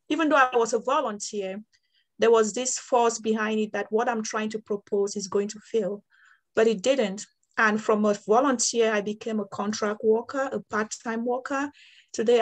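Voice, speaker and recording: Earnest Cameroon-born woman on a video call. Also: a little high. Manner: earnest, steady, with small restarts. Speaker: water quality programs coordinator. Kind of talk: breakout room discussion.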